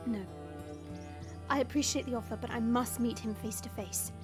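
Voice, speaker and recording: A proud young princess, acted by a woman, speaks in a high, regal voice with formal, haughty resolve. It is fantasy audio drama, set over clearly audible music. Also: fairly even intonation, normal speed, natural voice. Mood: resolute. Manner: formal, commanding.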